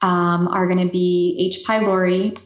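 A woman's voice: lowish voice, flat delivery, unhurried, reverberant. A woman with an Eastern European accent, a native Polish speaker, answering interview questions in an explanatory way with frequent fillers and restarts. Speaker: guest expert.

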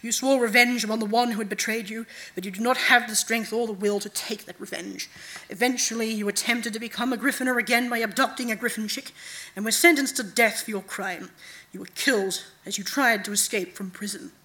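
Woman voicing an imperious, deliberate night goddess. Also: a little high, bright, forceful tone, low-quality recording. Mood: calm, grave. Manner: deliberate, commanding. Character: imperious female night god, cold, commanding, manipulative.

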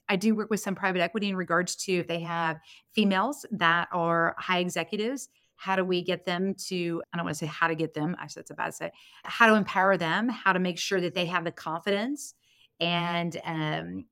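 A woman's voice: on the low side, a bit bright, dry recording, clean studio sound. A woman of about fifty giving candid, motivational answers as a guest in a conversational interview. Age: 49, turning fifty next month. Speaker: podcast guest, business consultant and strategic planner.

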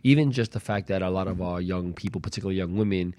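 A man's voice: low voice, quick pace, a little mellow. A man talking casually and conversationally as a panelist on a talk show, with some slang.

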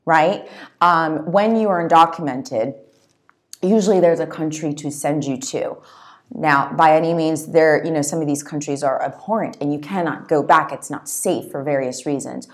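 A low-pitched woman guest on an interview podcast, talking earnestly and reflectively about her own story. She was born in Zambia and speaks in a thoughtful, conversational way, often adding "you know" and "right?". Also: moderate pace. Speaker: author guest.

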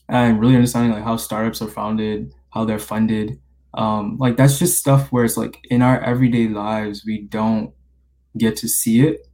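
Young guy from the bahamas hosting a podcast, mellow warm tone, laid back, lots of "you know". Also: fairly low pitch. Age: 20s. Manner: relaxed, many fillers like you know and like. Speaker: podcast host, college senior. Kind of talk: casual podcast interview.

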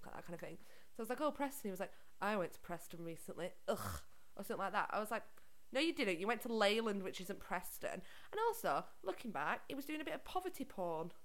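A woman from Liverpool co-hosting a casual chat podcast, telling stories and swearing freely. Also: medium pitch. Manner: chatty, swears freely, lively storytelling. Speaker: podcast co-host.

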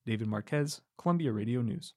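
A man reporting a scripted radio news feature in a measured, even delivery. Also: medium pitch, dry recording, studio quality.

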